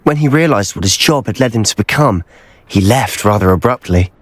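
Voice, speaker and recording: An actor playing an earnest, secretive teenage wizard student in a video game, speaking in a measured, persuasive way. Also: male voice, on the low side, animated, dry recording. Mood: earnest, determined. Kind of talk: video game cutscene acting.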